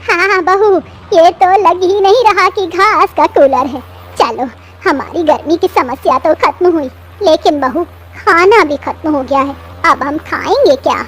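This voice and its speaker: A woman's voice: very high voice, measured pace, a bit bright, a little hiss or hum. A woman voicing a hospitable, fretful older mother-in-law in a children's cartoon, homely and directing. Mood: relieved, fretful. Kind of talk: Hindi children's cartoon story voice acting.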